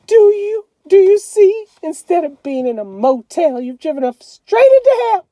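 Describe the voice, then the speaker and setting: Guy doing a really high furious mom voice, scolding hard, audiobook story. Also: sing-song, normal speed, warm tone, no echo. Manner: emphatic, repeats herself accusingly. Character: furious, scolding mother at her wits' end.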